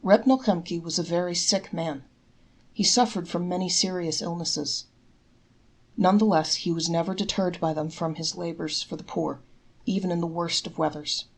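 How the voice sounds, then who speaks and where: A woman narrates traditional tales, reading from a text. Her voice sits a little low for a woman, and the delivery is that of a storyteller reading aloud. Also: steady tone, moderate pace, slightly rough, poor audio. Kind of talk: scripted folktale narration, read aloud.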